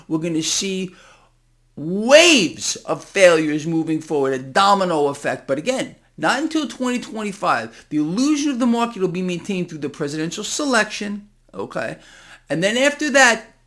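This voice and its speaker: A man with a distinctly high voice delivers impassioned, unscripted market commentary. He addresses his audience directly as a channel host, leaning hard on key words with an incredulous, conspiratorial edge. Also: fairly bright tone.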